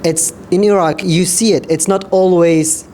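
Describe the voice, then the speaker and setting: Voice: mid-range voice, steady tone, unhurried, warm tone, slight background noise. Polite, formal round-table speaker. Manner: polite, formal, addresses panelists directly. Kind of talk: panel discussion remarks.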